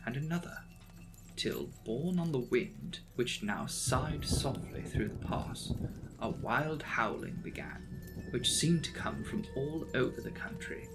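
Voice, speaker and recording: Gravelly man reading with a dramatic, animated delivery. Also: medium pitch, low-quality recording. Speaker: audiobook reader. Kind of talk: dramatic reading of a gothic novel. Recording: music behind the voice. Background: background music.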